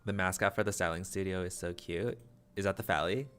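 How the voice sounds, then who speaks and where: A young man streaming a game, chatting casually with viewers in a flat, low-energy way, full of self-deprecating asides. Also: brisk, clean tone. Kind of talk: casual livestream chat.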